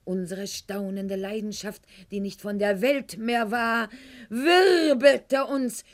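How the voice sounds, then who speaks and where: A woman's voice: mid-range voice, slow, close to an everyday voice. An actress playing a proud, witty, wounded aristocratic lady in a theatrical radio drama monologue, articulate and ironic. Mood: rapturous. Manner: articulate, haughty, ironic, literary phrasing.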